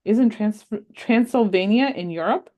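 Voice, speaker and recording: A woman voicing a curious, excited six-year-old girl in a read-aloud story, asking question after question. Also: measured pace, highs cut off, natural voice. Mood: eager. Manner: high-pitched, eager questioning.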